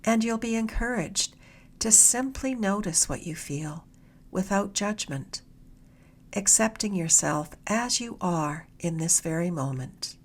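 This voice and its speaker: A woman leading a guided meditation in a gentle, soothing, unhurried way, her soft voice turning slightly breathy at the ends of phrases. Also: medium pitch, animated. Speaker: meditation guide, mindfulness mentor.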